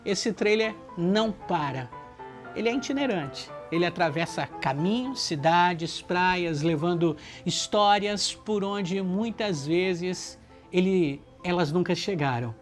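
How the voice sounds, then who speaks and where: Earnest Brazilian narrator over music, mid-pitched voice. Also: slow pace. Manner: earnest, rhetorical delivery in short listed phrases. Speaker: book project organizer and narrator. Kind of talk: reflective scripted narration. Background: background music.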